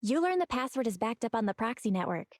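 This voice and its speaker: High-voiced shrewd young heroine. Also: female voice, sing-song, fast, no echo, clean studio sound. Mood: probing, calm. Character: young sister, shrewd, composed, plucky underground operator. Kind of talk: English video game voice acting.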